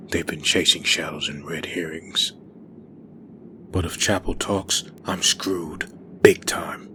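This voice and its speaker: A man's voice: a bass voice, background noise. A man voicing a bitter, brooding undead antihero in a grim inner monologue for a comic dub.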